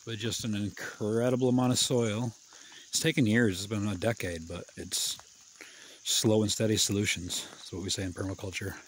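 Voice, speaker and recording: A man in his fifties with a low voice ambles through a wooded hillside garden and talks in a relaxed, plain-spoken way. He holds a phone out at arm's length as he walks. A faint outdoor hiss sits under his voice. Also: moderate pace. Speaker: permaculture farmer. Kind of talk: casual walking garden tour. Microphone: phone held at arm's length.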